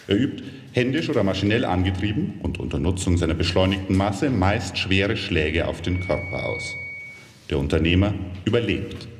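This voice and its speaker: An actor playing a solemn chronicler in a radio play, narrating in a dry, encyclopedic manner. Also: male voice, deep voice. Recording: echoey hall with long reverb, faint noise.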